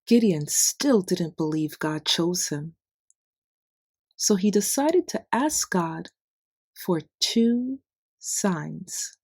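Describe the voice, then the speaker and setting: A woman reading a children's bedtime story in simple, deliberate phrases with expressive emphasis. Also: on the low side, breathy edge, no room sound. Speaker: children's Bible story narrator. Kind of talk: scripted read-aloud bedtime story narration.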